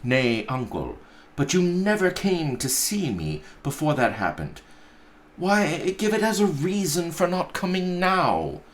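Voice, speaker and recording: A man's voice: fairly high pitch, wildly swooping pitch, unhurried, voice pushed up. A man voicing a cheerful, earnest young nephew in a storybook reading, with a stammering, effusive manner. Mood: warm, hearty.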